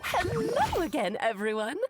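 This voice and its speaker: A breezy game witch with a high, lively voice that swoops theatrically. She is playful, grand and affectionate, like a flamboyant aunt. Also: unhurried, soft background music, exaggerated voice. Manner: theatrical, calls people darling. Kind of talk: video game voice acting.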